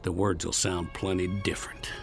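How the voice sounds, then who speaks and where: An actor playing a hardened Old West killer in a radio drama, gravelly, with rough, scornful cowboy speech. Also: male voice, low voice, flat delivery, moderate pace. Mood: bitter. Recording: music under the voice.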